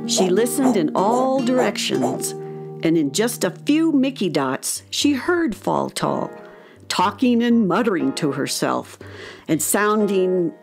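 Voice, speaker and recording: Animated woman narrating a children's story. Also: mid-range voice, slow, gravelly, faint music behind.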